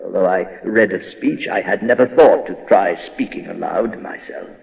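An actor playing a lonely, tormented castle dweller in a horror radio monologue, speaking in a halting, haunted, theatrical manner with dramatic pauses. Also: male voice, sits low, creaky. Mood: haunted, brooding. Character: lonely, tormented male castle dweller raised among bones and skeletons. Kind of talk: dramatic first-person horror monologue, old-time radio acting. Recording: dull old radio recording, long reverb.

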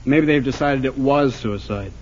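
A man's voice: mid-range voice, moderate pace, lightly acted. An actor playing an uneasy, questioning son of a murdered man in a 1940s-style radio drama. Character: shaken surviving son of murdered father. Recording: muffled old radio recording.

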